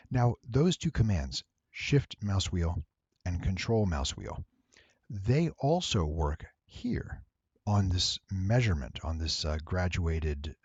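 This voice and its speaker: A man giving a casual, unscripted software tutorial in a deep, husky voice, with wry, playful asides. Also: no room sound, highs cut off.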